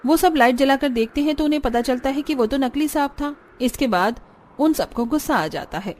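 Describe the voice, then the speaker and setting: A woman's voice: high voice, fairly quick, darkish tone. A woman narrating a cartoon story in plain, scripted storytelling.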